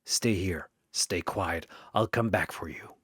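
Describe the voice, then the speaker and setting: A man playing a gruff, protective masked vigilante who speaks in a terse growl. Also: low-pitched, slow pace, a bit bright, dry recording, studio quality, full character voice. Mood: quiet reassurance.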